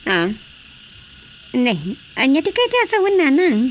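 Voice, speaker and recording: High-voiced, animated village daughter. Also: female voice, normal speed, slight background noise. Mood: teasing. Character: chatty village daughter in a family comedy. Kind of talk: Filipino radio drama comedy acting.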